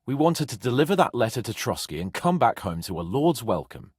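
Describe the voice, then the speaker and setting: Young medieval lord, brash, petulant, teasing, very animated intonation, dry close recording, game dialogue. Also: male voice, medium pitch, fairly quick, slightly rough, no echo. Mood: conversational, wry. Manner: haughty, expressive, sardonic. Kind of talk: video game dialogue acting.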